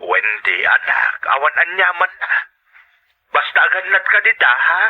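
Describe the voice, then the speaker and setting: A thin telephone line carries an affectionate Filipino father played by a man, his voice a little high for a man. He is tender and worried about his grown daughter, in radio drama style. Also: big pitch swings, measured pace. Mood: tender, grateful. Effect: telephone filter. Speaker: drama actor. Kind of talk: Filipino audio drama acting.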